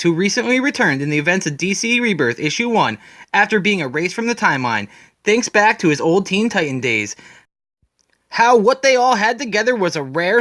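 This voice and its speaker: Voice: mid-range voice, a bit bright, dry recording, clean studio sound. A person giving a dramatic, scripted narration as a storyteller, retelling comic book scenes in the present tense.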